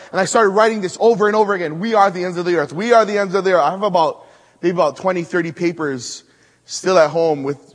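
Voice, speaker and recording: A man from Hawaii preaching at a conference, building to loud emphatic peaks and dropping to hushed asides. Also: in a large hall. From Hawaii (Maui). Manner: emphatic, swings between loud rising build-ups and hushed low asides. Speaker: guest preacher. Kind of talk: conference sermon with storytelling.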